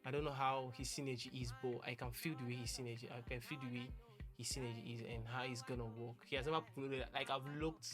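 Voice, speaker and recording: Young man, casual earnest vlog talk, rambles with restarts, middle pitch, clear music bed. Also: steady tone, fast talker. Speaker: music producer.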